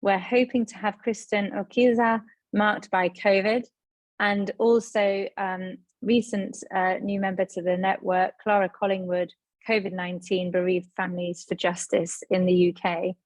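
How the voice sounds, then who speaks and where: A woman's voice: medium pitch, little pitch movement, normal speed, no echo. Woman hosting a video call panel, friendly, lots of ums, dry sound with no room. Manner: friendly, organized, with frequent ums. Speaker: moderator. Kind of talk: moderating an online panel.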